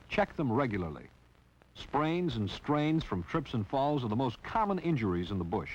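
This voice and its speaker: A man narrating a 1960s training film in a wry, teasing scripted style. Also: mid-range voice. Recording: dull lo-fi 1960s film soundtrack.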